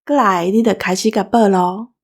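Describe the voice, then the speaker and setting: Medium-pitched Taiwanese woman hosting. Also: fairly even intonation, normal speed. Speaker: show host. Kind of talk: conversational anecdote telling.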